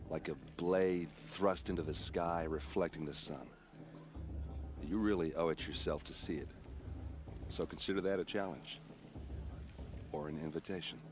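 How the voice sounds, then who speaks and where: An actor playing a friendly, chatty young warrior who boasts about his tribe and his steel armor. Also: low voice. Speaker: soldier in a king's vanguard. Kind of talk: video game cutscene dialogue. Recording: game audio with ambient noise.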